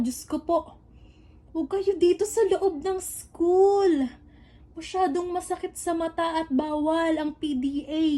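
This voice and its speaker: A young Filipino woman with a high voice narrates a comedic radio drama. She is chatty and teasing, speaking expressively as if gossiping with classmates. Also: mellow. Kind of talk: playful scripted narration.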